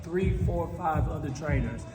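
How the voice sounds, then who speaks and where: A man who coaches fitness, talking in a loud, hyped, animated way with a habit of repeating stock phrases. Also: a little high, a little slow. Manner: repeats "sit up here" as a habit. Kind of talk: casual podcast interview. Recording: echoey hall, distant mic, loud music.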